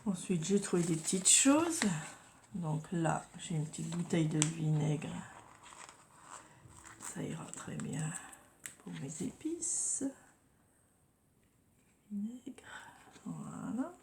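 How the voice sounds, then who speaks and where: A woman's voice: fairly low pitch, very animated, takes it slowly, pauses often, a little mellow, a little hiss or hum. A woman with a head cold chatting casually through a crafting tutorial, often murmuring and whispering to herself as she works. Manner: drops to murmurs and whispers while working, repeats "voilà" often. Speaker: craft vlogger.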